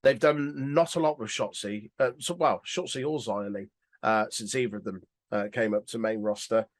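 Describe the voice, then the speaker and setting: A man's voice: on the low side, normal speed, no echo. A man co-hosting a podcast, giving rambling, informal, sweary opinions in casual chat. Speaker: podcast co-host.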